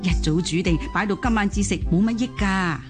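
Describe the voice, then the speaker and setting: A woman's voice: mid-range voice, a little fast, over music, close to an everyday voice. An actress playing an understanding elderly mother-in-law with a Hong Kong Cantonese accent, sighing and reassuring in radio drama style. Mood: soothing, caring. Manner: sighing, gently chiding, reassuring.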